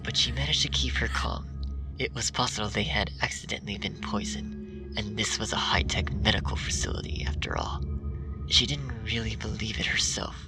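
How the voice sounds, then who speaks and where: Gravelly low-voiced man narrating in a hush. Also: normal speed. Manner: hushed, half-whispered narration. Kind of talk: scripted story reading. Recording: distant mic with music behind.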